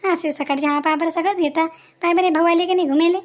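Shrill-high, nosy village busybody played by a woman. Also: steady tone, fast. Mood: suspicious, prying. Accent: rural Maharashtrian. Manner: prying, sharp-tongued, quarrelsome. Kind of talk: Marathi comedy cartoon dialogue.

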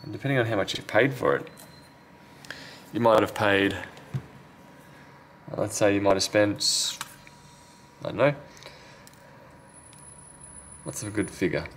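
Guy with a lowish voice, casual repair video talk, kinda muttering to himself at a workbench, noticeable background noise. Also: a little slow, pauses often, a bit bright. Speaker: phone repair technician and video host. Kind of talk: casual hands-on repair commentary.

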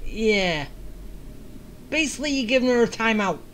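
A woman gamer chats casually over a story playthrough. Her voice is a bit high and she takes her time, reacting aloud with faint game sound behind her. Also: animated, takes it slowly, a bit bright, a little hiss or hum. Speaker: gamer playing through a story.

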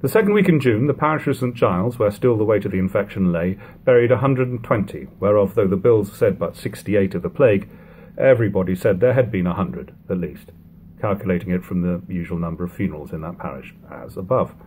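A man in his thirties reading a classic novel aloud at home, in a measured, steady way, letting sentence ends fall quiet. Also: low voice, slight background noise. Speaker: audiobook reader. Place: living room at home.

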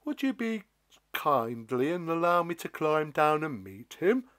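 A man's voice: on the high side, big pitch swings, a little slow, fairly bright tone, pitched above the performer's own voice. A man voicing a lonely, bashful giant in a children's storybook, speaking politely and timidly, as if pleading. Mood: shy, pleading.

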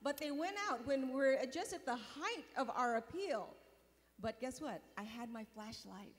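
A middle-aged woman on a convention stage telling a mission testimony into a handheld mic, animated and gesturing. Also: sits high, a little slow. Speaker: mission volunteer and board member. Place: large convention hall stage. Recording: long hall reverb.

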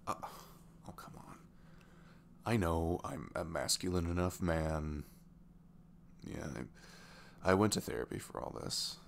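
Guy acting as a bashful single dad, really low creaky voice, halting soft romantic asmr roleplay, bit of noise behind. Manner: hesitant, soft-spoken, halting. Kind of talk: intimate ASMR audio roleplay, conversational.

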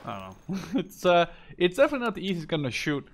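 A young man in his twenties commentates over his gameplay in a fairly high voice. He talks casually and loosely, doubling back on his sentences and tossing in swearing. Faint gunshots and clicking sit behind his voice. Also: huge pitch swings, fast talker, a little hiss or hum. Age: 20s. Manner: rambling, self-correcting, swears casually. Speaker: gameplay video host. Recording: game gunfire and clicking behind voice. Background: video game gunshots, machine guns, clicks.

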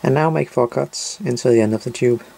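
A man's voice: mid-range voice, fairly quick, gravelly, dark tone, slight background noise. A man narrating a step-by-step DIY tutorial in short, simple, matter-of-fact instructions.

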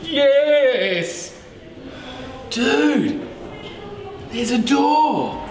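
A middle-aged man playing a teacher in an amateur short film, speaking in a blunt, deadpan way. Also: very high-pitched, very slow. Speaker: teacher character. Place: school corridor and classroom. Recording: echoey room with faint hiss.

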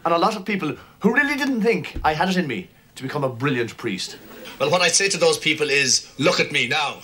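An actor playing a vain middle-aged priest, talking in a pompous, self-pleased way. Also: male voice, a little high, expressive, fairly quick, sharp tone. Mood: gloating. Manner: pompous, score-settling speechmaking. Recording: sitcom audio with noisy background.

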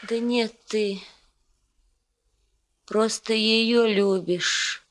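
A heartsick young woman in a radio drama. She speaks very slowly in a slightly high voice, tender and pleading, repeating her phrases. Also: steady tone. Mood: gently pressing. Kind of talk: Soviet radio play acting.

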